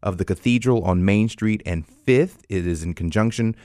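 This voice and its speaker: A man with an American accent and a fairly low voice, hosting a radio arts show. He talks to listeners directly and conversationally, with a close, dry studio sound. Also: normal speed, warm tone, dry recording. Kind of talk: scripted announcements and interview hosting.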